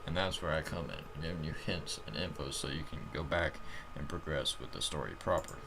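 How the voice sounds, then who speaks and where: A man's voice: extremely low pitch, a little fast, gravelly, background noise. A man voicing a perky, teasing female vet-clinic guide in a comic game, breezy and playful. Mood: breezy, explanatory.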